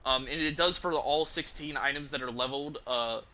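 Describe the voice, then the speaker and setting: A man's voice: mid-range voice, brisk, bright, forceful tone. A man giving casual live stream commentary as a game streamer, talking in an informal, enthusiastic, rambling way.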